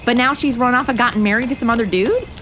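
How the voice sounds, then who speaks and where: A woman's voice: a little high, fast talker, background noise, natural voice. A woman playing a slangy, blunt, sarcastic modern young woman who is a detective's sidekick in a comedy audio drama. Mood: baffled.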